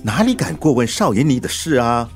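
Fretful old family chauffeur, animated delivery. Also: male voice, mid-range voice, a little fast, faint music behind. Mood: worried, deferential. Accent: Singaporean. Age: older. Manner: deferential, fretful, stammering when flustered. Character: anxious, loyal old family chauffeur, fussy father. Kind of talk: Mandarin radio drama acting.

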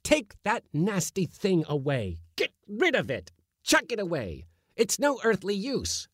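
A man's voice: on the high side, very animated, slow pace, darkish tone, dry recording, clean studio sound, pitched above the performer's own voice. A man voicing an irascible middle-aged male Latin schoolmaster who blusters and scolds in a raised, strained voice. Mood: furious.

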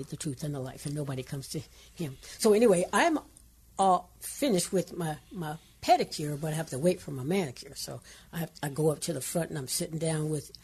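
Older woman with a carrying husky voice. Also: low voice. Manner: lively storytelling, bursts into song. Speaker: radio co-host, pastor's wife.

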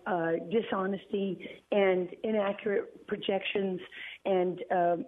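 A middle-aged woman from South Africa, a journalist speaking as a guest in an interview, talking in a deliberate, emphatic way with many fillers and repeated words. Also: medium pitch, dry recording. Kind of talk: phone-in interview. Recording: tinny dry phone line.

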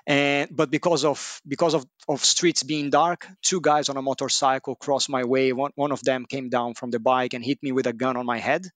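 A man's voice: mid-range voice, flat delivery, normal speed, hardly any pauses, fairly bright tone, no echo. A man from Brazil co-hosting a podcast interview, talking in a loose conversational way with frequent hesitations. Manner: conversational, many fillers and restarts. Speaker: podcast co-host.

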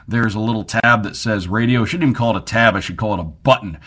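A man's voice: lowish voice, quick pace, fairly bright tone. A man hosting a sports radio show in a chatty, conversational and emphatic way, mixing commentary with promotional reads. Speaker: sports radio show host and team broadcaster.

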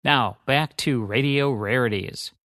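A man's voice: medium pitch, measured pace, a bit bright, a little hiss or hum. An American man reading a scripted promotional announcement as a radio host.

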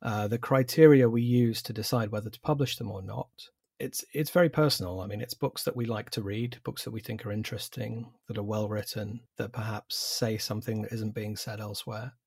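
A man in his forties with an English accent, a publisher answering interview questions in a measured, thoughtful way with some fillers. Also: fairly low pitch, fairly even intonation, gravelly, darkish tone, husky, dry recording, studio quality. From England. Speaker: publisher, guest.

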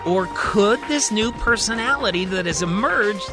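A man's voice: high-pitched, animated, a little slow, music in the background. A man narrating a fiction podcast as its host, telling the story in a dramatic, suspenseful present tense.

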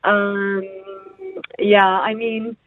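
A woman's voice: mid-range voice, level tone, drawn-out words, no echo. A woman dietitian from the UAE, a guest on a radio show, explaining fluently with many filler sounds. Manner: fluent and informative, many ums. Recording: tinny phone line.

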